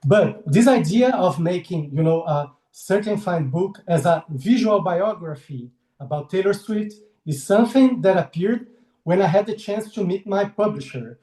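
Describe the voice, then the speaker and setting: A man's voice: sits high, expressive, moderate pace, warm tone, reverberant, poor audio. A man in his forties from Brazil with a Brazilian Portuguese accent, speaking as a guest illustrator on a video call, friendly and hesitant, laughing often. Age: 40s. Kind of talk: casual interview over video call.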